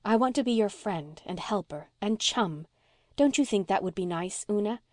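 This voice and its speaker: A woman voicing a gentle, frank and affectionate woman in a storybook, speaking softly and tenderly as if to a child. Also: medium pitch, lively intonation, normal speed, dry recording, clean studio sound, natural voice. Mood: warm, sincere. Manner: soft, tender, sincere. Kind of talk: audiobook character voice.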